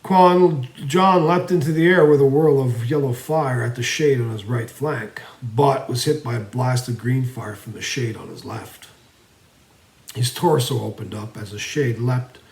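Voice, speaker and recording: A man narrating a fantasy story theatrically, swinging between emphatic and hushed delivery. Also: medium pitch, a little slow, creaky. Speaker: storyteller reading own fantasy series. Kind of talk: dramatic fantasy audiobook narration. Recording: roomy, echoing voice recording.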